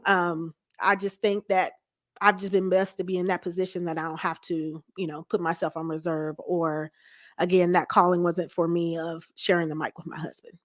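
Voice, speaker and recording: A woman's voice: lowish voice, level tone, moderate pace, no room sound, studio quality. A woman interview guest chatting casually and explaining her views in a candid way. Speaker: guest, church first lady.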